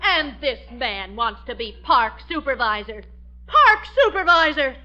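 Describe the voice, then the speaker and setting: An actress playing an earnest wife giving an emphatic campaign speech, in 1940s radio comedy style. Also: female voice, sits high, very animated, a little slow, bright, forceful tone, soft background music, heavily acted. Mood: accusing, fervent. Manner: emphatic, oratorical. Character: earnest, fiery wife campaigning for local office. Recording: dull old radio recording.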